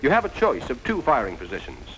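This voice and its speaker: Animated 1940s American military training film narrator. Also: male voice, mid-range voice, fast. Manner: direct, instructive, addressing the trainee as "you". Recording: noisy vintage 1940s film soundtrack.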